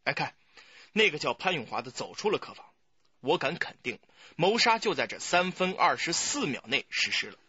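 A man's voice: a little high, sing-song, moderate pace, bright, forceful tone, husky, no echo, dull audio, full character voice. An actor playing a keen, emphatic, self-assured young male police detective in a radio crime drama. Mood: eager, certain.

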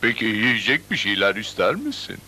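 Casting: animated sidekick guide for a children's cartoon, lowish bright voice, faint music. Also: male voice, moderate pace, slight background noise, exaggerated voice. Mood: friendly. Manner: animated, explaining. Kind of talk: Turkish-dubbed children's cartoon acting.

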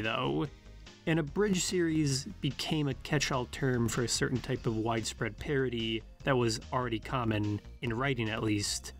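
Male narrator for analytical video essay, formal and measured, mid-pitched, faint music underneath.

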